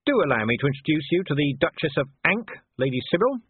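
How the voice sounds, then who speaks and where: A man playing a shrewd, sardonic city commander in an audiobook, smooth and needling in manner. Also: on the high side, big pitch swings, quick pace, no room sound, phone-quality sound, voice pushed up, full character voice. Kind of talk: audiobook character voice.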